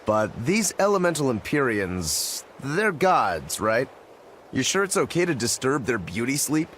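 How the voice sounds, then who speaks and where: A man's voice: mid-range voice, very animated, unhurried, a bit bright, slight background noise. A man playing a wry, easygoing tough fighter in a fantasy video game, talking casually.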